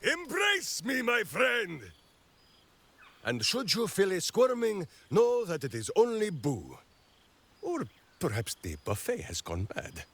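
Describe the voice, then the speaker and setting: A man's voice: sits high, wildly swooping pitch, a bit bright, husky, full character voice. A man playing a big, boisterous, simple-hearted warrior in a video game, theatrical and emphatic. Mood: hearty, affectionate. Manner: theatrical, speaks of himself in third person, slow and emphatic. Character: big, boisterous, simple-hearted male ranger warrior devoted to his hamster. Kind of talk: video game character acting.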